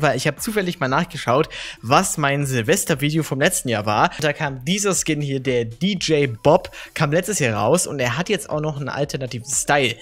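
A hoarse young man hosting his gaming channel, casually talking viewers through the day's game shop items in a chatty, relaxed way. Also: fairly high pitch.

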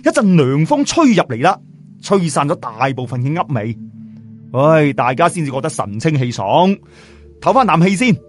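Male narrator. Higher-pitched, very animated oral storytelling, chatty, music underneath. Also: normal speed. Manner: colloquial, chatty, rhetorical questions to listeners. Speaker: audiobook narrator. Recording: music behind the voice.

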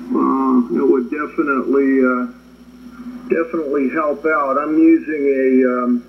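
A man's voice: mid-range voice, steady tone, a little slow. A man chatting casually as an amateur radio operator, hesitant and rambling, heard through a shortwave radio transmission. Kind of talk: casual radio contact chat. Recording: muffled ham radio audio, faint static. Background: radio static.

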